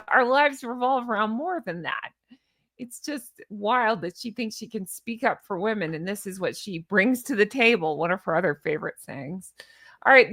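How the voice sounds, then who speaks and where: Sarcastic, higher-voiced woman commentator. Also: fairly bright tone, no echo, studio quality. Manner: sarcastic, mocking, exasperated; chatty, rambling, often breaking off to laugh at her own jokes. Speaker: commentary show host. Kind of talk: casual, unscripted commentary on social media posts.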